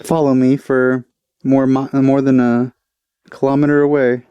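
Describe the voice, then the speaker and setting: A man's voice: mid-range voice, flat delivery, measured pace, mellow, smooth, no echo, highs cut off. A man giving casual, offhand commentary as he plays a video game, with a wry manner. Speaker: gamer, let's play host.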